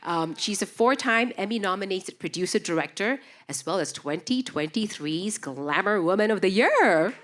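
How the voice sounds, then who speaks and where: A woman's voice: medium pitch, animated, normal speed, hardly any pauses, fairly bright tone. A woman who was born in Indonesia and grew up in Singapore, introducing a panel on a festival stage with an upbeat, fact-listing manner and many fillers. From Indonesia, raised in Singapore. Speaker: panel moderator. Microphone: stage microphone.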